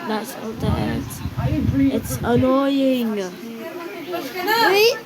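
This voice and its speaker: A woman's voice: sits high, animated, extremely slow, a loud crowd. A woman with a cough and a cold, chatting casually and hesitantly while she colors, with many ums and restarts. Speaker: vlogger. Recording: loud background noise.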